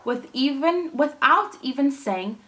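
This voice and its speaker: A woman teacher reading a storybook aloud to young children, in a deliberate, expressive way with dramatic emphasis. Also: high-pitched. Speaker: primary school teacher reading to her class.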